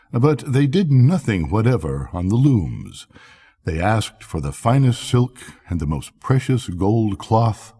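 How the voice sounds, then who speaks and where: A man's voice: on the low side, dark tone, breathy edge. An older man reading a bedtime story expressively, as a storyteller.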